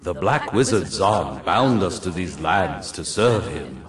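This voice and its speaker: Ancient, proud phoenix whose very deep voice rolls slowly and swings widely in pitch. He sounds set back from the mic, in a roomy, echoing space, grave and commanding. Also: lively intonation, recorded from a distance, crowd noise. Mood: solemn. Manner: slow, solemn, commanding. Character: ancient bound male phoenix, proud and weary. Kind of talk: game cutscene voice acting.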